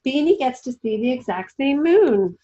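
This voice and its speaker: An affectionate grandmother from a children's picture book, as a woman reads her aloud. She is warm and expressive, with a fairly high voice that moves up and down freely. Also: moderate pace, dull audio. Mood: cheerful.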